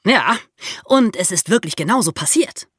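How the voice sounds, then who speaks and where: A woman co-hosting a podcast, explaining true crime in a lively storytelling way with rhetorical questions. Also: medium pitch, moderate pace, husky, no room sound, clean studio sound. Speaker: podcast co-host.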